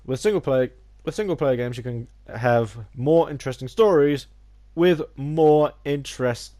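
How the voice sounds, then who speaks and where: Gaming YouTuber with a head cold, a little nasal, stumbling and restarting sentences, mid-pitched. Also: male voice, moderate pace, mellow. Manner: rambling, many restarts and stumbles; theatrical opening greeting. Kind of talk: casual video essay.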